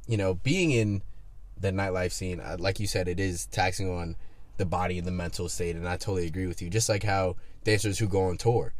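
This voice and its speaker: A man's voice: deep voice, steady tone, fairly quick, no echo. A man in his twenties hosting a casual chat, easygoing and chatty, swearing now and then. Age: 20s. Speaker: podcast host.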